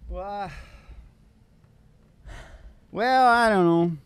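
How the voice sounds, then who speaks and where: High-pitched, rambling man bantering. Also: smooth, no echo. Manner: rambling, halting, irreverent. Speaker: radio show host and singing DJ. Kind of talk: casual comic banter.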